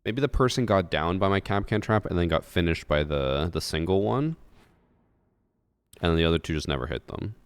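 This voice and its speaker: A man streaming a shooter game, chatting calmly with his viewers and commenting on his play in an unexcited way. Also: deep voice, fairly quick, clear, resonant tone. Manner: calm, unexcited. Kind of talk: casual gameplay commentary with chat. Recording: game gunfire audible behind voice.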